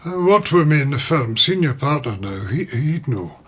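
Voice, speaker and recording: A man's voice: medium pitch, big pitch swings, a bit bright, husky. An actor in a radio drama playing a retired Scottish solicitor, an older man who is hesitant and forgetful. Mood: puzzled, fretful. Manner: halting, fretful. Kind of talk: naturalistic radio drama acting. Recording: slightly muffled radio drama sound.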